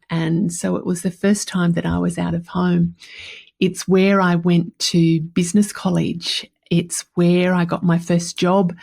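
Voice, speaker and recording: A woman's voice: fairly low pitch, gravelly, dark tone. A woman in her fifties with an Australian accent, reflecting casually and candidly as a podcast host, chatty and repeating words for emphasis. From country New South Wales, Australia. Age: 50s. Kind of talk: personal reflective monologue, casual.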